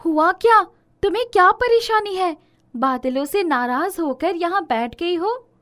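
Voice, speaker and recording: A woman's voice: very high voice, expressive, unhurried, fairly bright tone, voice pushed up, full character voice. A woman voicing a resolute, righteous fairy in a children's storybook tale, firm and scolding in manner. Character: bossy, righteous female fairy, concerned and resolute. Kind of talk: animated storybook character acting.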